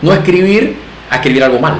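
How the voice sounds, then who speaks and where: A man's voice: on the high side, animated, fast talker. Middle-aged Panamanian man, echoey room. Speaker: interview guest, banker. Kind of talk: casual interview conversation, storytelling. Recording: echoey room, faint background noise.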